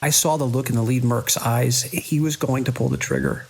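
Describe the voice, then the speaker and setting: Gravelly, husky hospital director played by a man. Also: medium pitch, steady tone, darkish tone. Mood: shaken, haunted. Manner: earnest, urgent, halting between phrases. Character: beleaguered, idealistic male hospital director, defiant in crisis. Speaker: voice actor in an audio drama. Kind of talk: dramatic horror audio drama monologue and dialogue.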